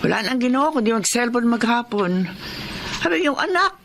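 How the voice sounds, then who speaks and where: A woman's voice: on the high side, very animated, moderate pace, a bit bright, a little hiss or hum. A woman playing a wistful, envious, better-off mother in a radio drama, with a Filipino accent. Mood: rueful.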